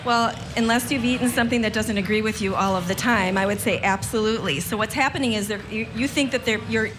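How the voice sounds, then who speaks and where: A middle-aged woman guest explaining in an animated, unscripted way, emphatically repeating her phrases. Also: a little high, fast, over music. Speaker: guest psychic and medium.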